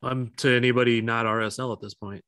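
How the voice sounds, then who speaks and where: A man's voice: medium pitch, fairly even intonation, fast, a bit bright, dry recording, studio quality. A man co-hosting a casual fan podcast, talking in a blunt, matter-of-fact way.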